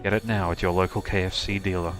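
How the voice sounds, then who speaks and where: A man's voice: sits low, fairly even intonation, fast talker, over loud music. A man narrating a comic game as a streamer, reading scripted lines in a theatrical, playful way.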